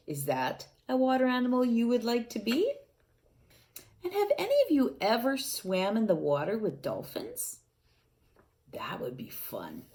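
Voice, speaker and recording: A woman reading a storybook aloud to children, animated and asking them questions, sometimes dropping to a whisper. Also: a little high, measured pace.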